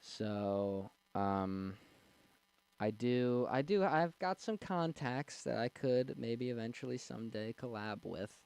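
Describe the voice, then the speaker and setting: A man's voice: expressive, takes it slowly, vocal fry, a little mellow, clean tone. Young man rambling on a livestream. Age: 20s. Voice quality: young, slightly squeaky voice. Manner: rambling, with fillers and restarts. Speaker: streamer reading chat. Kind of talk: casual livestream chat. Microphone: desk mic.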